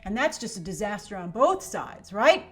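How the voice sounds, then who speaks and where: A woman's voice: mid-range voice, very animated, moderate pace. A woman hosting an online film commentary show, speaking in an emphatic, conversational way and often checking in with rhetorical questions. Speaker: YouTube host and film commentator. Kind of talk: opinionated commentary to camera.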